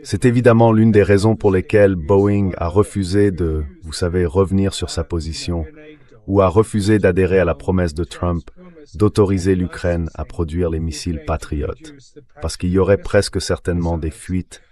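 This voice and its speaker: A man giving measured, analytical geopolitical commentary as an interview guest, read by a synthetic translation voice. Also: low-pitched, fairly even intonation, dark tone.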